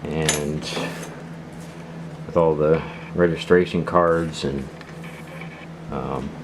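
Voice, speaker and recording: A man with a low voice talks casually through an unscripted gear demonstration. His delivery rambles and hesitates like an amateur reviewer's. The recording has clear noise behind him. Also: fairly even intonation, very slow. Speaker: radio hobbyist reviewer.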